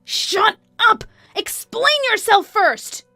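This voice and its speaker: A brazen, indignant mistress, voiced by a woman narrator, defensive and loud. Her pitch is very high and swings widely, in a dry recording with music behind. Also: very animated, normal speed, gravelly, no room sound, full character voice. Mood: furious. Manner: shouting, defensive. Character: shrill, indignant, brazen adulterous woman, former best friend.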